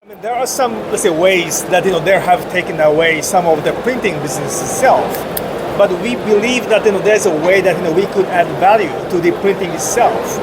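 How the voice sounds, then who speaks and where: A man's voice: high-pitched, brisk. Man peppering speech with "you know". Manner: filler-heavy, many "you know"s. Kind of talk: panel talk at a trade event. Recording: loud background noise.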